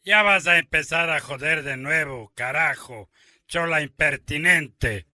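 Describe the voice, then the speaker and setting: A man's voice: on the high side, a little slow, bright, forceful tone, dry recording, clean studio sound. A man playing a grumpy, foul-mouthed drunkard husband in a radio drama. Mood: irritated, insulting. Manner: surly. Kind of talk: amateur radio drama acting.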